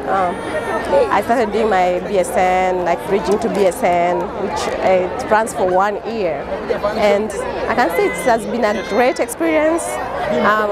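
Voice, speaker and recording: A woman from Kenya, a nursing graduate, speaking in a measured way with many fillers in an outdoor street interview. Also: medium pitch. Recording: loud crowd behind.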